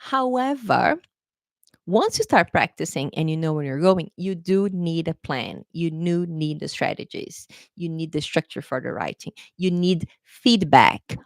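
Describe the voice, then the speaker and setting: A woman's voice: sits low, lively intonation, measured pace, creaky, dry recording, studio quality. A young adult woman from Brazil with a Brazilian Portuguese accent, an English teacher chatting through a live-streamed exam prep lesson, repeating key points and calling viewers "guys.".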